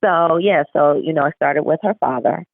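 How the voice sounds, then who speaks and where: A woman's voice: sits low, moderate pace, vocal fry, no echo. A woman author giving reflective, explanatory answers in a conversational interview over a phone line. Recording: tinny, narrow phone-like line.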